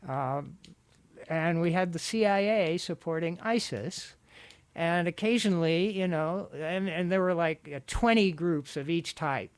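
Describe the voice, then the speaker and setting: A man talking politics in a radio studio. He speaks haltingly and laughs often. His voice is a little high for a man, and the mic sound is dry and close. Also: smooth, no room sound. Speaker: radio discussion guest or co-host. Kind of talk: casual political radio discussion.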